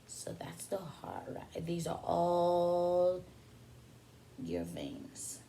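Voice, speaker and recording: A woman preschool teacher reading a picture book aloud to young children, pausing for simple explanations and questions addressed to them. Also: fairly low pitch, sing-song, mellow, a little hiss or hum, low-quality recording.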